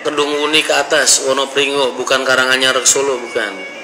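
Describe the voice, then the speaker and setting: A man's voice: mid-range voice, fairly even intonation, fairly quick. A man chatting informally and reading out comments, in a slangy, bantering way. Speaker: livestream or vlog host reading comments. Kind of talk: casual chat, reading out comments. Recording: roomy echo, loud background noise.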